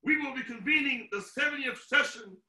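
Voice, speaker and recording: A pastor in his sixties delivers a sermon in a very high, piercingly bright male voice. He is emphatic and declamatory, breaking his phrases with deliberate pauses. The sound is roomy, picked up some way from the microphone. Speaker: pastor preaching. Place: small room at home. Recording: roomy echo, distant microphone.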